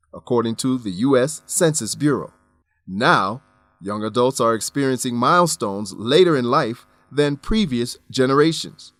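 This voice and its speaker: A man reading scripted English-lesson narration as a teacher, deliberate, instructional and carefully enunciated. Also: medium pitch, no echo.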